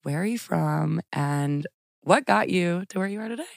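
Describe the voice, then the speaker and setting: A woman hosting a podcast interview, chatting casually and reacting to her guest with quick affirmations. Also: on the low side, expressive, creaky, no echo, studio quality. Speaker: podcast host.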